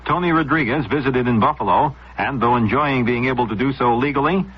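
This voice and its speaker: A man with an American accent reading scripted radio news in a formal, measured way. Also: mid-range voice, breathy edge. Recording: dull vintage radio sound.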